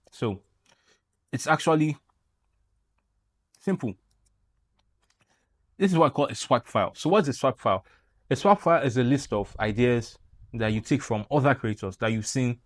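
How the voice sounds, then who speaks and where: A man's voice: medium pitch, no echo. A young man with a Nigerian accent, giving a playful, joking tutorial straight to camera as a YouTube creator. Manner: animated, playful, jokey asides. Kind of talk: casual tutorial talk to camera.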